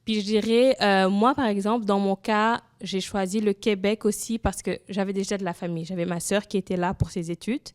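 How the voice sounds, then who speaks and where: A young woman from Senegal, a Wolof speaker, tells her own story as an interview guest. Her voice sits at an ordinary mid pitch in a dry, close sound. She is earnest and keeps restarting, leaning on "comme" as she goes. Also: steady tone, brisk, hardly any pauses, clean tone, no room sound. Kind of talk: personal testimony in an interview.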